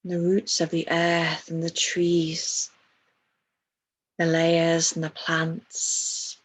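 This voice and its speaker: A woman leading a guided meditation in a soft, breathy voice, soothing and hushed, with long pauses between phrases. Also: deep voice, completely flat delivery, rough recording.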